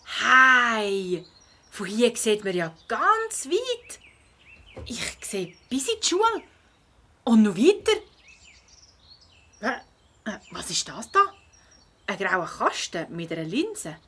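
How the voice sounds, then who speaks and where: A female performer playing a bold, adventurous schoolgirl detective in a children's audio drama, lively and coaxing, with a Swiss German accent. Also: fairly high pitch, slow, long pauses, heavily acted. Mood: curious.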